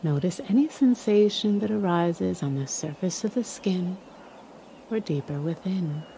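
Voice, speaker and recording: A woman leading a guided meditation in a calm, soothing, measured way, leaving long pauses. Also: fairly low pitch, a little hiss or hum.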